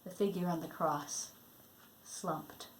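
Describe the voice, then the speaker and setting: A woman reading a Christmas storybook aloud in an expressive, dramatic way, letting phrase endings fall hushed. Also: on the low side, slow pace, a little hiss or hum, poor audio. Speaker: storybook reader.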